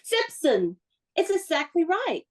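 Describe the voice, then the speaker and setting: A woman's voice: very high voice, wildly swooping pitch, slow, heavily acted. An actress playing a spirited, playful, bossy young woman in a radio play, with a theatrical, instructing manner. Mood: breezy, decisive.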